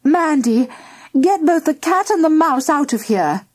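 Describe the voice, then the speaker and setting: Woman acting an overwrought, high-pitched storybook mother. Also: lively intonation, very slow, mellow, exaggerated voice. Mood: sharp. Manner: exclamatory, fretful. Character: overwrought, complaining mother in a fraught household.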